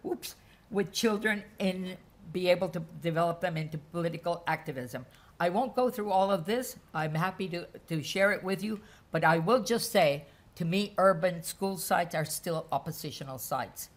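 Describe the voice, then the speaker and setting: A woman giving an academic lecture, speaking in a measured way and laying out her points as lists. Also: on the low side.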